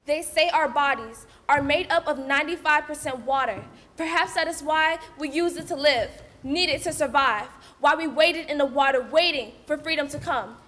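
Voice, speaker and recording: A woman's voice: high voice, pushed and bright. A woman giving scripted, lyrical narration. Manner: lyrical, deliberate.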